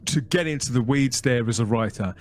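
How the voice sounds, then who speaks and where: Male podcast host, measured, word-searching delivery, middle pitch. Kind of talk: podcast interview.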